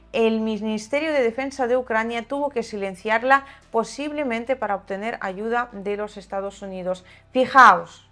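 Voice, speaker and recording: A woman's voice: mid-range voice, a bit bright. A woman hosting a channel, giving opinionated, sarcastic political commentary in a conversational way, sometimes reading aloud. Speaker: video channel host and commentator. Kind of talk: political commentary to viewers, part read aloud.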